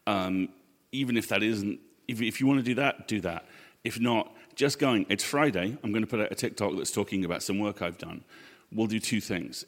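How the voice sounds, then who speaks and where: Low-voiced man in a reverberant hall. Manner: earnest, measured, building to short punchy sentences. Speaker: panel guest. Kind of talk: panel discussion advice. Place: live event panel in a hall. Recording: echoey hall with long reverb.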